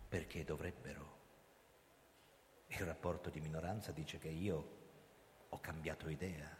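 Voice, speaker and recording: A male actor playing a grim, accused ex-police commissioner who narrates his own story in a radio drama, brooding and deliberate. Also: very deep voice, steady tone. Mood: grimly determined. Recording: hall reverb, noisy live stage ambience.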